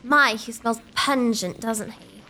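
A woman performs a teasing young lady of gothic audio comedy. Her voice is high, with a theatrical relish suited to spooky tales. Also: slow pace, bright, forceful tone, full character voice. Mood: disdainful. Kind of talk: comic gothic audio drama acting.

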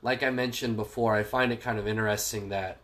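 A man's voice: on the low side, fairly even intonation, fairly quick, fairly bright tone. A man co-hosting a podcast in casual chat, talking with a stuffy nose in a joking, sarcastic way. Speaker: podcast co-host. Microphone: phone call.

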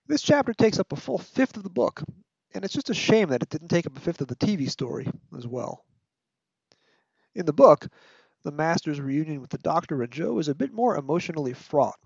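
A man hosting a podcast with scripted narration, measured, articulate and wry, like a literary review. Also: on the high side, creaky, a little mellow, no room sound, highs cut off. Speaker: podcast host.

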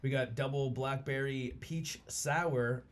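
A man hosting a casual podcast over beers, talking in a relaxed, rambling way with lots of fillers. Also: mid-range voice, slow. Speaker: podcast host.

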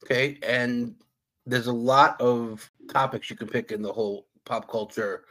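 Male interviewer, mid-pitched, plain and brief questions. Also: little pitch movement, a little slow, a bit bright, low-quality recording. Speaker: podcast host and history teacher.

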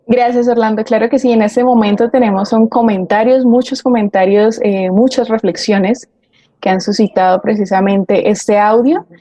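Mellow, dry-sounding woman. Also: a little high, steady tone, moderate pace, no echo. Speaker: forum co-host reading audience comments. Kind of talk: reading out listener comments.

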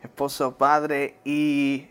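A man's voice: medium pitch, completely flat delivery, drawn-out words, fairly bright tone, clear, resonant tone. A young man with a Puerto Rican Spanish accent, a guest in a casual interview, who talks hesitantly, tags his sentences with "¿verdad?", laughs often and slips into English. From born in New Jersey, raised in Puerto Rico.

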